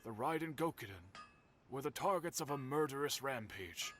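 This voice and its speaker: An actor playing an older master swordsmith in a video game, courteous and grave, telling old history in a measured, formal way. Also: male voice, mid-range voice, animated, fairly bright tone, a little hiss or hum, natural voice. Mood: solemn. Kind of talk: video game character acting.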